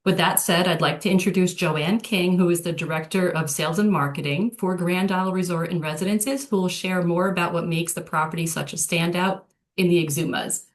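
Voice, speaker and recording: A woman with a slightly low voice hosts an online travel webinar. She delivers a prepared introduction as a product manager presenting to travel sellers. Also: fairly even intonation, a little fast, few pauses.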